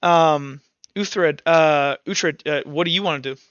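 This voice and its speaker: High, bright-voiced man running a game. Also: unhurried, clear, resonant tone, dry recording, muffled recording. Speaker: game master. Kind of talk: improvised tabletop game narration.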